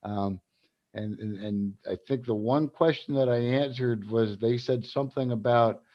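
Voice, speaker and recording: A man's voice: medium pitch, measured pace, muffled recording. A man from South Carolina, hosting a casual advocacy livestream, rambling with many fillers and restarts. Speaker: cannabis advocacy group host.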